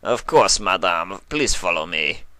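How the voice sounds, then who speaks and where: A man's voice: low voice, animated, measured pace, sharp tone, no echo, full character voice. A man voicing an urbane, obliging male pony head waiter with a formal, deferential manner in a story reading.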